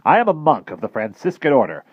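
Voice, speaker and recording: A gruff, crabby elderly professor, put on by a male narrator. The voice sits noticeably higher and louder than the reader's own, with a growl to it. A slightly muffled, dry recording. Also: wildly swooping pitch, fast, dry recording. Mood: courtly.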